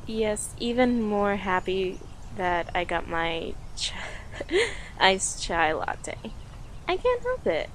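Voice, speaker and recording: A woman's voice: medium pitch, very animated, fairly bright tone, a little hiss or hum. A woman playing a playful, affectionate young girlfriend on a date in an intimate, conversational audio roleplay, with a teasing manner. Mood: chatty, amused. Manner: teasing, giggly, conversational with pauses.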